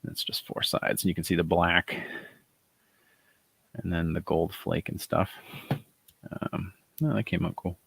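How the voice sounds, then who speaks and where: A middle-aged man with a soft, gravelly voice, chatting casually and rambling on a live stream while showing handmade dice. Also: deep voice, unhurried, pauses often, dry recording. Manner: relaxed, rambling, many fillers. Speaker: author hosting a live chat.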